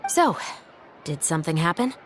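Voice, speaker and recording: An actress playing a dry, sardonic patrol captain in a video game, with a level, steady delivery. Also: female voice, sits low, moderate pace, slight background noise. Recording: game music underneath.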